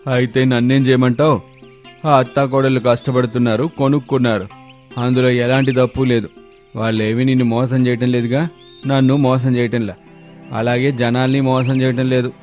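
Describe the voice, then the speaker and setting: A man's voice: medium pitch, flat delivery, normal speed, a little mellow, faint music behind, natural voice. A man playing a firm, fair-minded village shop owner with an admonishing manner in a cartoon moral story. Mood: firm refusal.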